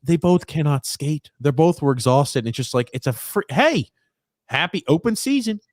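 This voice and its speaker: A man hosting a live game watchalong podcast, excitable and reading viewer comments aloud. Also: fairly high pitch, moderate pace, dry recording, studio quality. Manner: excitable, swearing, reads viewer chat. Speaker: podcast host.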